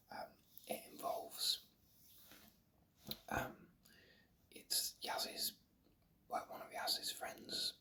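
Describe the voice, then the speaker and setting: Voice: very high-pitched, sharp tone, distant mic. Whispering ASMR reviewer.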